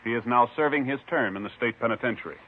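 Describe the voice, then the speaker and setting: A man's voice: medium pitch, very noisy. Formal male announcer on muffled vintage radio. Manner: formal, measured. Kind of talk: 1950s scripted radio announcing. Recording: muffled vintage radio recording, faint music.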